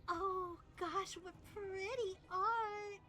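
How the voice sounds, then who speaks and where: A woman streaming a visual novel playthrough, expressive and excitable as she narrates and chats. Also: very high voice, extremely slow, heavy background noise. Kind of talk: casual livestream chat and dramatic narration. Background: music.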